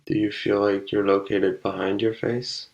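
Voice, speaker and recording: A man leading a guided meditation, speaking calmly and unhurriedly with long pauses between short instructions. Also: fairly low pitch, creaky, poor audio.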